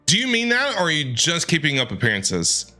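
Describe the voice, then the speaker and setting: A man's voice: fairly high pitch, huge pitch swings, fairly quick, sharp tone. A man with an American accent giving casual, playful reaction commentary to a game, talking back to its characters. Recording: game music playing behind.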